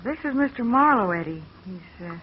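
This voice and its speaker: A woman's voice: a little high, lively intonation, normal speed, close to an everyday voice. A sullen, restless young farm woman, played by a woman answers in a curt, guarded way. It is 1940s radio acting on a muffled broadcast with a faint hiss.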